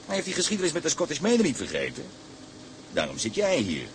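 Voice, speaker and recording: The chief engineer of a tugboat in a radio play. He is proud and emphatic, with a very lively, swinging delivery. A faint engine rumble sits behind him. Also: fast talker. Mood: proud, knowing. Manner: emphatic, animated. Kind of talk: radio drama acting.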